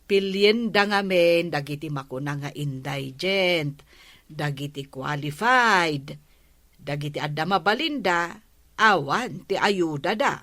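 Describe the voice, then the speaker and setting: An earnest captain's wife. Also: female voice, deep voice, lively intonation, takes it slowly, a bit bright, dry recording, clean studio sound, full character voice. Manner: polite, earnest pleading. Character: earnest village captain's wife, adult woman. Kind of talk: radio comedy drama acting.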